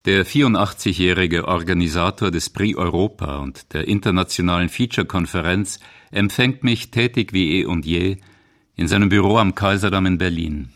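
Low-voiced male documentary narrator. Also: normal speed, fairly bright tone. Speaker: feature narrator.

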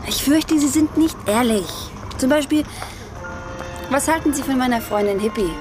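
A woman's voice: high voice, normal speed, music in the background, lightly acted. An actress playing an earnest, outspoken schoolgirl from a well-off family in a German radio drama, speaking formally and emphatically. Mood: probing, insistent. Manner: formal address, probing, emphatic.

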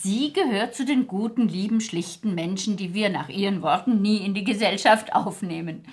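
An actress as a chatty, forgetful society hostess. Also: female voice, medium pitch, moderate pace, slightly rough, natural voice. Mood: gracious, chatty. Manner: rambling, gracious, chuckling, muddles her facts. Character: chatty, forgetful, amused aristocratic society hostess, older lady. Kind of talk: 1960s German radio play acting.

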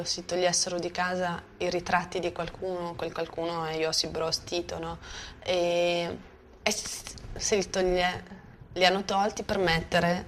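Low-pitched Bosnian woman talking informally. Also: a bit bright. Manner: informal, seeking agreement with tag questions. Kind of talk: conversational spoken commentary. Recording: faint background noise.

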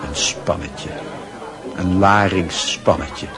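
A man acting as the measured literary storyteller of a Dutch radio drama. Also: low voice. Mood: reflective. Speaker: narrator. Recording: music and crowd murmur behind.

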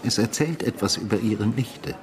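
An actor playing a brooding retired homicide detective in a radio drama, probing and measured in his delivery. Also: fairly low pitch, close to an everyday voice. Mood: probing, insistent. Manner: probing, measured, matter-of-fact. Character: brooding retired male homicide detective, insistent questioner and narrator. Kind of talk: radio drama dialogue and narration. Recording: music behind the voice.

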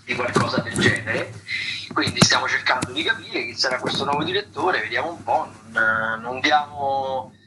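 Man, Italian accent, mid-pitched, hesitant and wry, digressive radio critic, informal commentary, clearly noisy recording. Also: moderate pace. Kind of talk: informal spoken theatre listings commentary.

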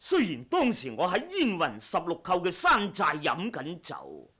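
Man acting a husky, ruthless wuxia assassin. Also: high voice, huge pitch swings, measured pace, sharp tone, heavily acted. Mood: measured. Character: ruthless male wuxia assassin, cold and haughty. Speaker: audiobook voice actor. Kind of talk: Cantonese martial-arts radio drama acting.

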